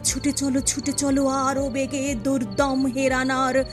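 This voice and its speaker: High-pitched woman reciting poetry dramatically. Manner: expressive, measured, emphatic delivery with drawn-out phrases. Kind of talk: dramatic Bengali poem recitation. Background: music.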